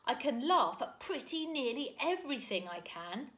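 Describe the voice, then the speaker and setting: A woman reading aloud, voicing a loud, cheerful older uncle who is given to laughter. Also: very animated, exaggerated voice. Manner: booming, slow and jovial. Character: jolly round old uncle, loud and cheery.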